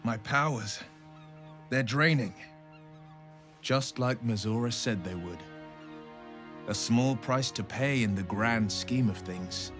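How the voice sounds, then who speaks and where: An actor playing an earnest, noble young male adventurer in a fantasy game, speaking with measured sincerity. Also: mid-range voice, music in the background, close to an everyday voice. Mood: resigned. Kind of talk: dramatic fantasy game acting.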